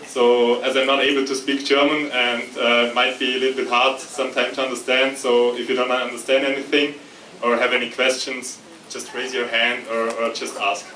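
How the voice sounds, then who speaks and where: A man's voice: medium pitch, level tone, sharp tone. Jet-lagged man presenting in an echoey room. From Austria. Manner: halting, many fillers and restarts. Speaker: conference presenter. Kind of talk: tech meetup presentation. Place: meetup room. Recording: echoey room, distant mic, faint noise.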